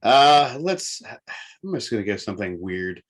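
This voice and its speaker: A man co-hosting a casual sports talk show, speaking in a halting way as he works through his opinions. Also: fairly low pitch, very animated, breathy edge.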